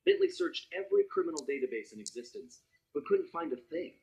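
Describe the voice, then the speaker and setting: A man's voice: fairly high pitch, huge pitch swings, fast talker, vocal fry, darkish tone, faint music behind, exaggerated voice, rough recording. An American man voicing a cool, loyal young master thief who narrates his adventures in a wry, conversational storytelling way for a video game cutscene. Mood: wry, determined. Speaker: narrator and hero.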